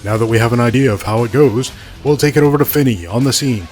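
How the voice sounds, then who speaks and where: A male cartoon wrestling commentator acted by a man explains things in a matter-of-fact, scripted way. His pitch is average, with faint music underneath. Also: fast talker, darkish tone, natural voice. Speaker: co-host, commentator. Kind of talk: scripted sports presenting.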